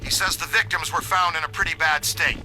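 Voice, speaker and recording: A man voicing an eager, friendly ghost hunter in a video game, conversational and animated. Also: fairly high pitch, a little fast, low-quality recording. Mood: concerned, informative. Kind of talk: video game character acting. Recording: loud game music behind.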